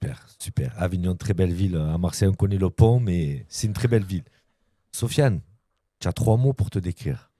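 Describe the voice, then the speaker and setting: Male podcast host, friendly brief questions, lowish mellow voice. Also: fairly quick, no echo. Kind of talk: casual interview.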